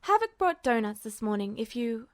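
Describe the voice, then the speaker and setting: A woman voicing a calm, composed female officer with a neutral tone in a storybook reading. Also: a little high, moderate pace, big-room sound. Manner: neutral, even-toned.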